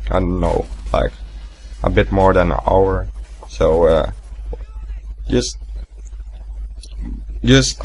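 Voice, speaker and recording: Deep gravelly young man rambling. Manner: rambling, swearing, laughing at himself. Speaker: gaming commentator. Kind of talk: casual gameplay commentary.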